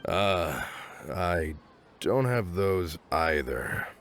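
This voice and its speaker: A mild, unsure market seller with a low voice. He talks casually and hesitates over his words, as game dialogue with faint music underneath. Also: lively intonation, extremely slow, a bit bright, full character voice. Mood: sheepish, surprised. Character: unassuming, hesitant male secondhand market seller, mild and uncertain. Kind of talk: video game voice acting.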